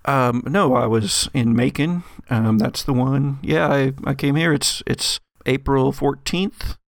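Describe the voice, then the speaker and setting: A man plays an affable Texan guy in his forties with fry in his voice. He speaks haltingly, stammering and rambling as he improvises in an audio drama, recorded close and dry. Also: mid-range voice, no room sound. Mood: hesitant. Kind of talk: improvised role-play dialogue.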